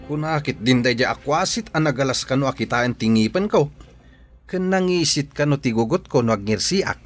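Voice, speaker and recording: A man's voice: mid-range voice, moderate pace, faint music behind, natural voice. A man acting in a comedic radio drama as a touchy, teasing village smoker, blustering in an Ilocano accent. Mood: defensive.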